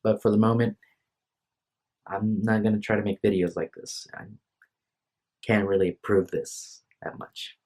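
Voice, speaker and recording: A man's voice: lowish voice, steady tone, measured pace, long pauses, darkish tone. Tired young man rambling into a phone. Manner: hesitant, apologetic, restarts a lot. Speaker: vlogger. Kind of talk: rambling casual vlog to camera. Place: room at home. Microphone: phone microphone.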